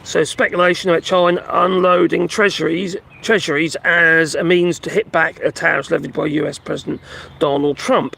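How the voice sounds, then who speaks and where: Gravelly man, losing his voice. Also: fairly high pitch, fairly even intonation, bright, forceful tone. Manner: rambling, halting, many fillers and restarts; talks to his pets between remarks. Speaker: livestream host reading and commenting on news. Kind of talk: casual live commentary on news. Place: at home with animals nearby.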